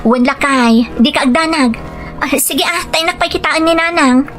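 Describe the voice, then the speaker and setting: A woman acts out a deceitful gambling wife with an Ilocano accent in an audio drama. Her voice is on the high side, coaxing and dramatic, over background music. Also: moderate pace, fairly bright tone. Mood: feigned worry, coaxing. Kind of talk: radio-style drama acting.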